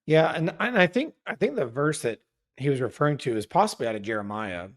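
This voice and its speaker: A man's voice: a little high, lively intonation, fairly quick. A man as a guest researcher in a casual scholarly discussion, careful and self-correcting, explaining his findings at length.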